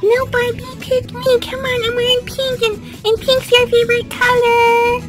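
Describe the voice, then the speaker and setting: A woman voicing an excitable, adorable little girl doll who talks eagerly and repeats herself in a children's toy story. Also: extremely high pitch, unhurried, music in the background. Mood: pleading. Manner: squealing, repetitive, breathlessly eager.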